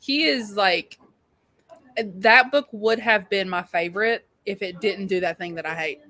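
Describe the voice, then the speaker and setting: A woman's voice: medium pitch, unhurried, bright, forceful tone. A woman co-hosting a live readalong show, chatting about books in an informal, slangy, emphatic way. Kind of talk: casual book chat.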